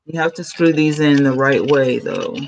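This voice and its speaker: A woman YouTuber, chatting excitedly to her viewers from home while she sets up a new microphone. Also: low voice, moderate pace, highs cut off, a little hiss or hum. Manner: chatty, excitable, talks to chat. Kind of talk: casual vlog chat to viewers. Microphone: camera mic, then a new plug-in USB mic.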